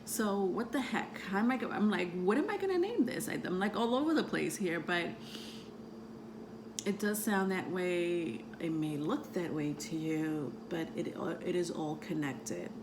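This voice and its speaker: A woman with a soothing voice, who grew up outside the United States, talking about astrology to her viewers in a meandering way full of ums and soft laughs. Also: on the low side, animated, measured pace, background noise. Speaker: tarot reader and astrology vlogger. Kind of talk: casual rambling talk to camera.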